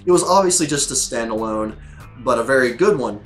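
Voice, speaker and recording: A man with a scratchy, strained voice, worn by a sore throat, talks casually to his viewers. His pitch sits in a middle range, and he rambles with frequent ums and restarts. Faint music plays softly behind him. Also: expressive, a little fast. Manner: loose and chatty, many fillers and restarts. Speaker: host reviewing episodes. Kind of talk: casual rambling commentary to camera.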